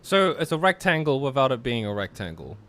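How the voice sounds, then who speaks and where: Male game host, very animated mid-range voice, casual read-aloud with stumbles, faint music underneath. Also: mid-range voice, a little fast. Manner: stumbles over words while reading. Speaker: host of group play-along. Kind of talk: reading game narration aloud with casual banter.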